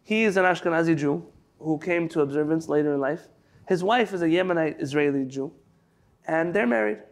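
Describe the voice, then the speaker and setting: A man's voice: a little high, brisk. A man from San Diego, a rabbi giving a chatty, digressive class over a video call, reading out sources and then explaining them. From San Diego, California. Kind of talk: conversational Torah class.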